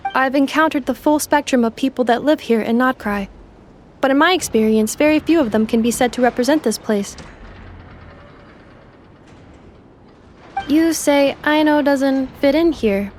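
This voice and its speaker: Woman as a high-voiced, composed robot girl. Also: a little hiss or hum, close to an everyday voice. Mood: matter-of-fact, firm. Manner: measured, formal, precise. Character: composed, devoted female robot companion, logical and sincere. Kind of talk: video game voice acting. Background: music.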